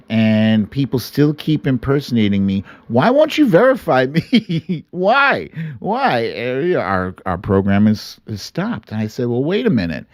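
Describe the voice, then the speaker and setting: Middle-aged male livestream host, slightly low voice, unhurried delivery, casual and earnest storyteller. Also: no room sound. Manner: chatty, earnest, chuckles and quotes himself animatedly. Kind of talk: casual livestream chat to followers, telling stories and reading comments.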